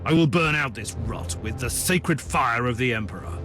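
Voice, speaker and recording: An actor playing a grave, defiant, zealous inquisitor hero in a video game cutscene, formal and dry in manner. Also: male voice, medium pitch, normal speed, lightly acted. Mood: righteous defiance. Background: loud music.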